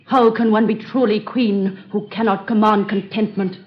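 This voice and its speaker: A woman's voice: medium pitch, steady tone, moderate pace, lightly acted. An actress playing a haughty, bored and cruel queen of ancient Egypt, in 1930s radio drama style with archaic, imperious diction. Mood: weary, bored. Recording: dull old radio recording.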